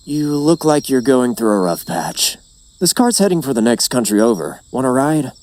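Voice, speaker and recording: A man's voice: mid-range voice, big pitch swings, normal speed, a little mellow, lightly acted. A man playing a confident, roguish chief of traveling merchants in an anime dub, teasing and persuasive like a showman. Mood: teasing, friendly. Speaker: voice actor in an anime fan dub. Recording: music behind the voice. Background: music.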